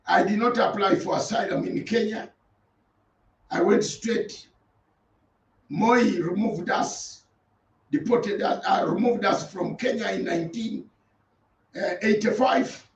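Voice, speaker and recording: A man, an interview guest deliberately recounting his life story in listed steps. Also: high voice. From Uganda. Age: 60s. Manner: deliberate, list-like recounting with repeated phrases. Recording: distant, roomy and muffled.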